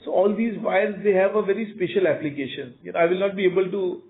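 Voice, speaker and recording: A man's voice: high-pitched, steady tone, quick pace, creaky. A man with an Indian accent answering analysts as a company executive on an earnings call, emphatic, repeating words and often saying "you know". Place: conference call over phone line. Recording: narrow tinny phone-line audio.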